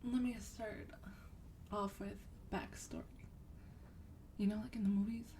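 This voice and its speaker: A woman playing a sweet, wealthy vampire in a soft-spoken ASMR roleplay, breathy and often near a whisper, gently coaxing with a slightly haughty air. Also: mid-range voice, steady tone, unhurried, far from the mic, a little hiss or hum, natural voice. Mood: calm, reassuring.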